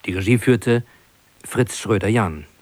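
A man reading formal, scripted radio announcements and credits in a measured manner. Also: on the low side, darkish tone.

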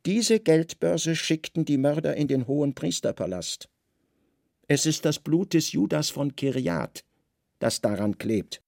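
A man playing a grave, calculating Roman secret service chief who speaks calmly, deliberately and deadpan in a literary radio drama. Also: fairly high pitch, creaky, no echo, studio quality, pitched above the performer's own voice. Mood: matter-of-fact.

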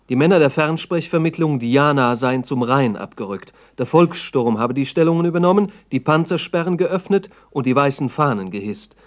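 A man giving scripted documentary narration in a radio play, sober and matter-of-fact. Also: on the high side.

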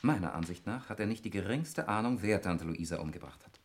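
An actor playing an irritable, suspicious lawyer in a 1960s German radio murder mystery, speaking in a precise, argumentative way. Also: male voice, on the low side, lively intonation, fairly quick. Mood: suspicious, scornful. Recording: noisy 1960s radio recording with clicks.

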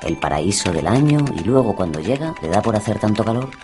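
Pensive chatty blogger, low pitch, lively intonation, radio monologue, music underneath. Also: male voice, fairly quick. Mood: musing. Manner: musing, rhetorical questions. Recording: music behind the voice.

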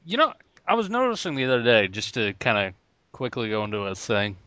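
A man's voice: mid-range voice, wildly swooping pitch, brisk, bright, forceful tone, no echo. A man co-hosting a podcast in casual joking banter, laughing often. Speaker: podcast co-host. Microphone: podcast mic.

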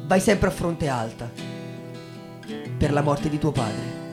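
A man with a fairly high voice reads dramatically, as a narrator on stage would. His phrasing is solemn and measured, and acoustic guitar plays clearly behind him. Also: animated. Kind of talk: theatrical dramatic reading over music. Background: acoustic guitar music.

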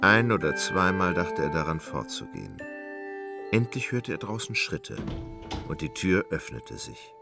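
A man gives a scripted literary narration for a radio play. His voice is deep and gravelly with lively intonation, and music plays behind him. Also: measured pace.

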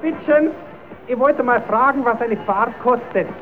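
An actor playing a naive, cheeky, quarrelsome puppet-show rascal with a southern German accent in an old radio play. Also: male voice, extremely high pitch, measured pace, music in the background. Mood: naive curious. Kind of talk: old radio play, comic dialect acting. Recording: tinny, narrow-band old radio recording.

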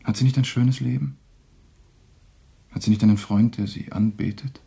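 An actor playing a bitter, self-sacrificing lover in a German radio drama, anguished and melodramatic. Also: male voice, on the low side, fairly even intonation, normal speed, creaky, warm tone, slightly rough, full character voice. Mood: anguished, despairing.

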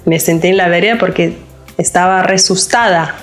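A woman from Lithuania with a Lithuanian accent, a psychologist speaking in an interview, halting and searching for words with frequent restarts. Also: lowish voice, steady tone, faint music behind. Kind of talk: casual interview talk.

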